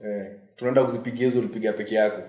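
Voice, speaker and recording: A Kenyan man hosting an interview, asking quick questions and teasing his guest. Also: on the low side, fairly even intonation, warm tone, a little hiss or hum. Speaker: host. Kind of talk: casual interview. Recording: roomy echo.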